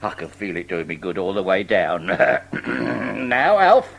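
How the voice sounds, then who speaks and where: An actor playing an obsequious, rum-loving old rat in an audio drama, with a rough husky voice and uneducated, wheedling speech. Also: male voice, sits low, big pitch swings, slow, a bit bright, exaggerated voice. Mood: relishing. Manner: wheedling, uneducated turns of phrase.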